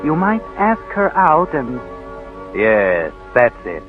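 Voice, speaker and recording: Ominous narrator over loud music. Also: male voice, medium pitch, wildly swooping pitch, slow pace. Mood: insinuating. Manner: ominous, insinuating second-person address. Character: brooding male narrator of a crime tale, taunting. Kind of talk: 1940s radio thriller narration. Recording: tinny 1940s radio recording, loud music.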